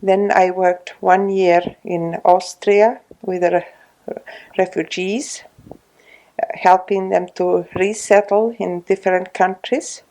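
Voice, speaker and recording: A woman in her sixties, from Estonia, speaking English with an Estonian accent in an oral history interview at her home, slow and hesitant with many pauses. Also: mid-range voice, level tone, darkish tone, poor audio. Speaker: retired social worker interviewee.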